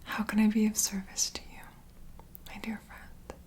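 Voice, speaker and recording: A woman softly whispering in a soothing, reassuring, unhurried way, as an ASMR host in a gentle comforting roleplay. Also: mid-range voice, level tone. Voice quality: soft breathy whisper.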